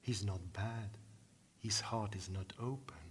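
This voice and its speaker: A storyteller voicing a patient village woman in a hushed, near-whispered voice, dropping well below his usual pitch. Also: slow pace, slight background noise. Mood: quiet. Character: patient, hopeful village woman, speaking softly.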